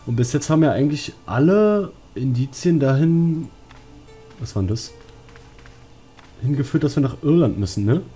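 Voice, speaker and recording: A man's voice: a little high, lively intonation, normal speed, pauses often, mellow. A man giving casual gaming commentary, thinking aloud colloquially. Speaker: let's play host. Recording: game music behind.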